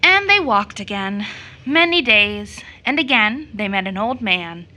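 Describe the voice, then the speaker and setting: A woman's voice: medium pitch, lively intonation, a little slow, sharp tone. A woman acting as a storyteller in an audio drama, narrating a children's fairy tale expressively. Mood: storytelling.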